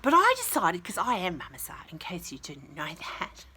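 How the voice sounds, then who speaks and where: Animated, bright-voiced woman storyteller for kids. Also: on the low side, normal speed, breathy edge. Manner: gushing, enthusiastic, talking to small children; expressive, exaggerated emphasis. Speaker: children's storyteller and host. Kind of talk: picture book reading with chatty commentary for young children.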